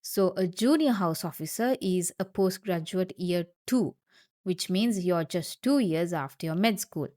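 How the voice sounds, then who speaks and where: A woman's voice: on the low side, lively intonation, a little slow, darkish tone. A woman from Sri Lanka, a doctor, patiently explains job terms in an informal video, repeating key points and speaking directly to viewers. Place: Queensland, Australia.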